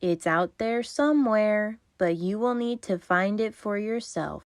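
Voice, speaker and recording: A woman voicing a kindly male tortoise in a storybook, speaking in a slow, drawn-out manner. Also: mid-range voice, lively intonation, no echo, studio quality. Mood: slow, dreamy.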